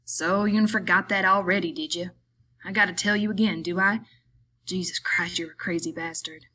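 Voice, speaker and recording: A woman playing a small, irritable, weary male migrant worker in an audiobook, scolding in rough, rustic speech. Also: lowish voice, steady tone, normal speed, bright, forceful tone, a little hiss or hum. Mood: exasperated.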